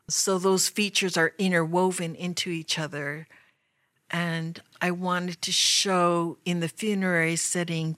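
Slow-spoken, flat-toned woman artist. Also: lowish voice, no echo, clean studio sound. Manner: slow, thoughtful, pausing often. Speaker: artist interviewee. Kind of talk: reflective interview talk.

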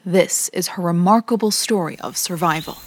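A woman hosting a podcast, narrating a scripted documentary story in a measured, even way. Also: on the low side, slight background noise. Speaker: podcast host.

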